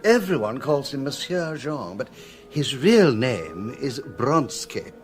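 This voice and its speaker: An actor playing a jovial, gossipy show impresario and gourmand in a British radio drama, effusive and theatrical. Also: male voice, medium pitch, very animated, takes it slowly, faint music behind. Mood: gossipy, amused.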